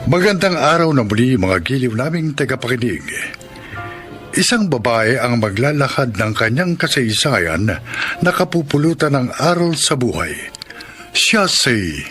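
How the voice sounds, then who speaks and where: A male radio drama announcer delivers a scripted introduction at medium pitch. His intonation is very lively, with faint music underneath. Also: a little slow.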